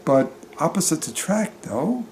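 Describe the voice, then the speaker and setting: A man's voice: fairly high pitch, sing-song, unhurried, vocal fry, a little mellow, slight background noise, voice pushed up, heavily acted. A man reading a storybook, voicing an assertive young engaged woman in a plain-spoken way. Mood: questioning.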